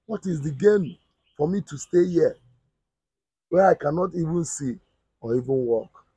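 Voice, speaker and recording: An actor playing a blind, resigned husband, speaking quietly and slowly. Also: male voice, on the high side, expressive, breathy edge, dry recording. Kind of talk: dramatic skit acting.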